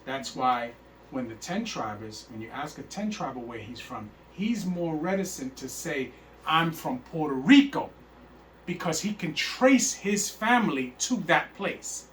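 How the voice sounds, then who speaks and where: A man's voice: on the high side, animated, measured pace, a bit bright, reverberant. A man teaching a religious class, emphatic and repeatedly checking that his listeners understand. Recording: faint hiss, speaker far from mic.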